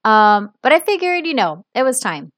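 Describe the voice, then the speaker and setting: A woman's voice: a little high, big pitch swings, normal speed, fairly bright tone, no echo, studio quality. An Italian woman with an American-sounding English accent, hosting her own podcast in a casual, upbeat solo talk to listeners. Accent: American-sounding English learned as a second language. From Italy (Milan). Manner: chatty and upbeat, addresses listeners directly. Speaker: podcast host, English teacher.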